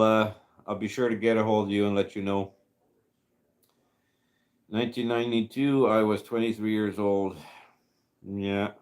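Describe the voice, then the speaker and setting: A man in his fifties hosting a casual livestream chat, rambling and halting with many fillers as he answers viewers. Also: on the low side. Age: 50s. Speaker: livestream host reading chat.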